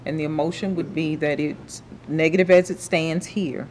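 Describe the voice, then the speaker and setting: A woman's voice: low-pitched, normal speed, slight background noise. A woman on a planning commission, talking informally and with feeling about a motion. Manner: animated, informal, uses y'all. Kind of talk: public meeting discussion. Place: city council chamber.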